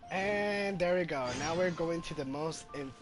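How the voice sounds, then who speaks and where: Higher-pitched man doing game commentary. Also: a little slow. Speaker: gaming streamer. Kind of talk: casual playthrough commentary. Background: game music.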